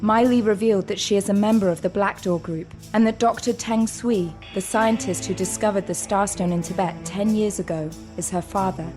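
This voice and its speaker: Woman narrator, an actress, mid-pitched, solemn storyteller, dramatic audio drama style, music behind. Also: steady tone, fairly quick, few pauses, darkish tone, natural voice. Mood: solemn, suspenseful. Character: solemn storyteller recapping a sci-fi saga.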